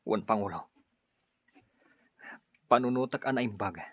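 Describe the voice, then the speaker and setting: A man's voice: lowish voice, a little slow, dry recording. A man acting a stern, conflicted young rebel lover in a radio drama, speaking with an Ilocano accent. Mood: troubled, stern. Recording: dull lo-fi audio.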